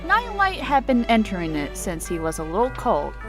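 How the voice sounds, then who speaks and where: A woman's voice: mid-range voice, wildly swooping pitch, moderate pace. A woman narrator reading a children's-style story as a scripted dramatic reading. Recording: music under the voice.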